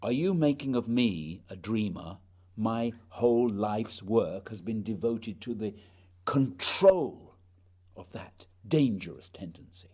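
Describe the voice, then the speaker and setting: A man's voice: on the low side, expressive, slow, mellow, breathy edge, exaggerated voice. A man playing a proud, duty-bound polar explorer, solemn and questioning, in a radio play. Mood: resolute, solemn.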